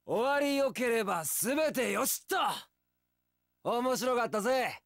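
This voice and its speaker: An actor playing a young wandering warrior in an action game, easygoing, romantic and sincere, with casual, rough speech. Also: male voice, very high voice, expressive, measured pace, a bit bright, dry recording, studio quality, exaggerated voice. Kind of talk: Japanese action game voice acting.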